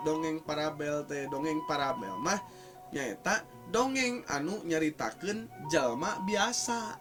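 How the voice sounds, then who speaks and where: A man's voice: fairly high pitch, animated, measured pace. A man with a Sundanese West Java accent explains like a teacher in an informal, hesitant conversational way, repeating key words for emphasis. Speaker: teacher explaining folk tales. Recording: music playing under the voice.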